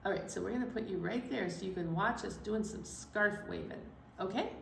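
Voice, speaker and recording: A woman leading a children's story time, speaking to young children in an animated, encouraging way and asking them questions. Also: medium pitch, a little fast, gravelly. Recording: roomy echo, distant mic, faint noise.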